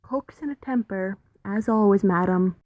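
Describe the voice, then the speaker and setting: A woman's voice: mid-range voice, normal speed, warm tone, no room sound, natural voice. A woman playing a polite, dutiful household maid in a radio play, servile and deferential. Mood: apologetic.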